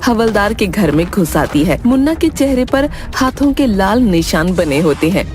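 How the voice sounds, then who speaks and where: A woman's voice: mid-range voice, big pitch swings, normal speed, darkish tone. A woman reading scripted narration for a cartoon story.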